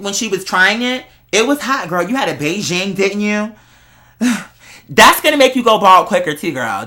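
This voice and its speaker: Sassy American man with a bright voice. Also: sits high. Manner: sassy, campy slang, often addressing listener as girl. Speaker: online show host. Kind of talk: casual rambling monologue.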